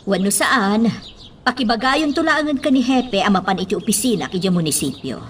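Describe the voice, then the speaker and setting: Woman acting anxious, lively-voiced Ilocano lady mayor. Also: mid-range voice, fairly quick, a little hiss or hum, close to an everyday voice. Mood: businesslike. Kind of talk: Ilocano radio drama acting.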